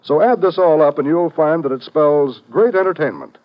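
A man's voice: a little high, normal speed. A man announcing a 1950s radio show, reading scripted promos and credits. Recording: muffled old radio broadcast, faint music.

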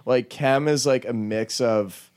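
A man's voice: medium pitch, slow pace, a little mellow, clean tone. A young man in a casual chat, full of slang, constantly saying "like" and "dude" and swearing.

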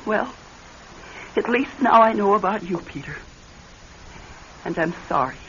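An earnest wife wronged by her husband. She speaks theatrically, with lively rises and falls. The classic radio drama recording is muffled, with faint noise behind her. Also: medium pitch, measured pace. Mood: hurt, sorrowful. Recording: muffled old radio broadcast with faint hiss.

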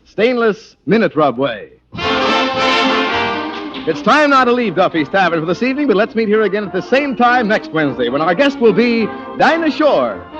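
High, lively American male radio announcer. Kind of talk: scripted 1940s radio announcing and ad reading. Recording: dull 1940s radio recording with music. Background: music.

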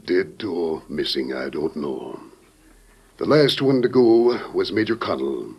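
A grave, dignified statesman in a classic radio drama. The voice is deep and husky, swinging widely in pitch through solemn, deliberate oratory. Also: expressive, highs cut off, exaggerated voice. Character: grave, dignified American president briefing a secret agent. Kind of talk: old-time radio drama acting.

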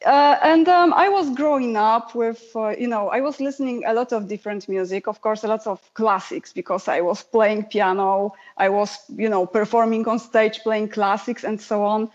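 A woman's voice: on the high side, steady tone, normal speed, hardly any pauses, darkish tone, muffled recording. A woman with a Polish accent, a guest musician telling her story in a casual, chatty interview. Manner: chatty storyteller, laughs easily.

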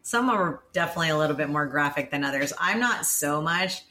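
A woman in her forties co-hosting a casual chat, talking in a relaxed, rambling way. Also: deep voice, a little fast, a little hiss or hum. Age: 40s. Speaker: podcast co-host.

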